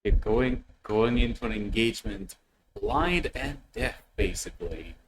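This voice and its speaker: A man hosting a reaction video with casual commentary, speaking in a hesitant, halting way and often repeating words. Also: on the low side, expressive, noisy background.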